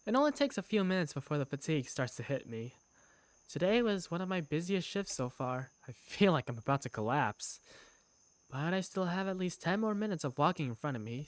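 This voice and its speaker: A woman's voice: sits low, no echo. A woman playing an affectionate young working big sister, narrating her own story in a visual novel fan dub. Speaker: narrator and protagonist. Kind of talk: first-person visual novel narration and dialogue.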